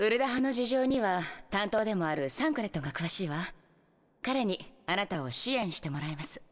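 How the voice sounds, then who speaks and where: A woman's voice: mid-range voice, lightly acted. An actress as a composed young woman leader. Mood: composed, businesslike. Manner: calm, measured, explanatory. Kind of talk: Japanese video game cutscene acting.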